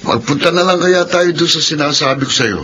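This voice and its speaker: An actor playing a hesitant young petty-crime sidekick in a Filipino radio drama, stammering and tentative. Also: male voice, fairly high pitch, fairly quick, natural voice. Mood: hesitant, scheming. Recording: noisy radio drama audio.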